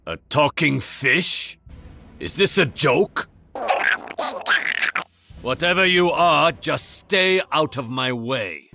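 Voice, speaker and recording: An actor playing a proud, stern master archer in a video game, with a Japanese accent and a formal, haughty delivery. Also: male voice, fairly high pitch, animated, slow pace, gravelly, pauses often, over music, exaggerated voice. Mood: dismissive, proud. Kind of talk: video game character voice lines.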